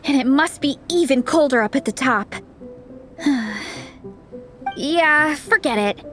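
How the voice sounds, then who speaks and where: Peppy young female group leader for an anime game: high-pitched, lively, encouraging. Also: slow pace, soft background music, full character voice. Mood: weary, chatty. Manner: peppy, organizing. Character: perky, encouraging young woman leading a training group. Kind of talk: English anime-style video game dub.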